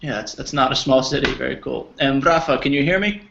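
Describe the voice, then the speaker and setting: A man's voice: medium pitch, fairly quick, creaky, background noise. A man from New Jersey teaching an online English class, giving friendly explanations to students. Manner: encouraging, explaining. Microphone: video call. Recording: slightly dull video call audio.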